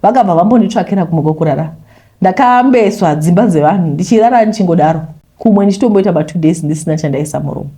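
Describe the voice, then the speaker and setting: A Zimbabwean woman narrates a personal confession at a brisk pace. Her voice is soft-edged and mellow, sitting a little low. She keeps it conversational, slipping English phrases into the story. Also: lively intonation. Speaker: YouTuber reading a listener's confession.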